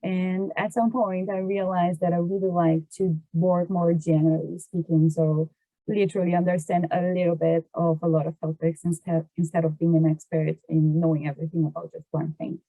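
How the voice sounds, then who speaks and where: A woman's voice: lowish voice, flat delivery, moderate pace, warm tone, dry recording, low-quality recording. A Brazilian woman with a Brazilian Portuguese accent, explaining her work as an interview guest, hesitating as she searches for words. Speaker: podcast guest, water resources engineer.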